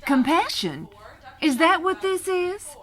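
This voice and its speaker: An actress playing a sardonic, cold-hearted small-town schemer in an audio soap drama, with a folksy turn of phrase. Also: female voice, very high voice, very animated, slow pace, fairly bright tone, full character voice. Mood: mocking.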